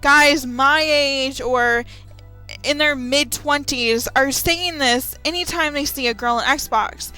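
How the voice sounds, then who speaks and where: A woman in her twenties speaking casually and unscripted to viewers, in an earnest, emphatic, halting way. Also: sits high, pushed and bright. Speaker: gamer, video maker. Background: background music.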